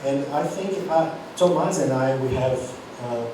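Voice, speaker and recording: A man's voice: a little high, unhurried. A man who is stepping down as program coordinator, speaking hesitantly and repeating words during a university panel. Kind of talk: panel discussion. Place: university auditorium. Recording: echoey hall, distant mic, noisy.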